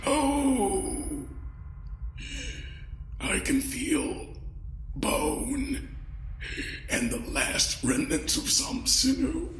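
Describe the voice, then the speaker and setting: A man's voice: sits high, wildly swooping pitch, drawn-out words, long pauses, a bit bright, reverberant, slight background noise, full character voice. A man voicing an ancient, decayed undead lord in an audio drama, grandiose, bitter and theatrical, with a pompous manner. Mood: aggrieved, haughty.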